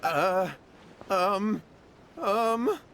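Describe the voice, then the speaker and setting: A man's voice: high voice, animated, extremely slow, slight background noise, full character voice. An actor playing a cowardly, anxious young townsman in an anime video game, flustered and stammering. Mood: flustered, evasive. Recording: loud music behind.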